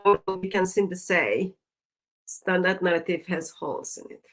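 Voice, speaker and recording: A woman with a Turkish accent, a native Turkish speaker, hosting a religious discussion show in a deliberate, emphatic way that leans on repeated phrases. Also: fairly low pitch, fairly bright tone, faint music behind. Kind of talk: live discussion with evangelistic exhortation.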